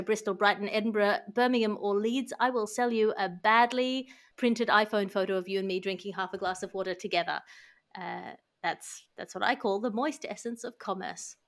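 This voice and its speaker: A woman's voice: medium pitch, a bit bright. A woman hosting a satirical podcast, reading news and mock ads in a dry, declamatory, tongue-in-cheek way. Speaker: podcast host.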